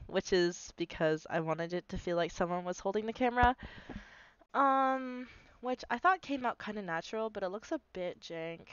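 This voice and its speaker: A woman's voice: fairly low pitch, clear, resonant tone, dry recording, muffled recording. A young woman sounding nasal from a cold, casually rambling through a walkthrough of her animation with lots of fillers. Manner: rambling, filler-heavy, self-deprecating. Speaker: animator explaining her video. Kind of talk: casual screen-recorded walkthrough.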